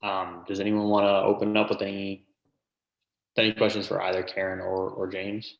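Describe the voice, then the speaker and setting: A man's voice: low-pitched, level tone, moderate pace, gravelly, echoey room, dull audio. A man moderating a panel over a video call, hesitant and full of fillers. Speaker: webinar moderator.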